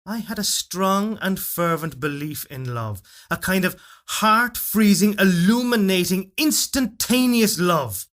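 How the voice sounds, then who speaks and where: A man reading a literary fairytale as narrator, in a solemn, measured and expressive storytelling delivery. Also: sits high. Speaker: story reader. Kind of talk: dramatic literary narration of a first-person fairytale.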